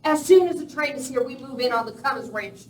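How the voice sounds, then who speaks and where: Actress as tough female Western gang boss, high voice, curt and threatening, live radio play in an echoey room. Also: expressive, brisk. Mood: stern, commanding. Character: tough, ruthless young woman boss of Western outlaws. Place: live performance in a village venue. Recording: echoey hall, distant mic, faint noise.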